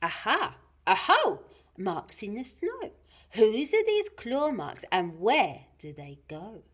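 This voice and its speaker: Storybook monster child played by a woman: high, swooping, curious and bold, with slow, sing-song questions. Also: huge pitch swings, breathy edge, full character voice. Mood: excited. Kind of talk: children's storybook character reading.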